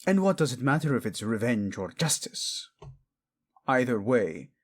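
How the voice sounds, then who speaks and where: A man's voice: medium pitch, sing-song, measured pace. A man playing a proud, vengeful young king, fiercely protective of his wife, in a dramatic romance audio roleplay. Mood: cold, vengeful. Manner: brooding, commanding, tender in endearments.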